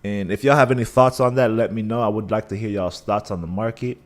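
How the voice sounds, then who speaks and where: A man's voice: lowish voice, fairly even intonation, fast talker. A man hosting a casual live stream, talking through chart analysis with a scratchy voice and a dry mouth, thinking aloud with many restarts. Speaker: livestream trading host.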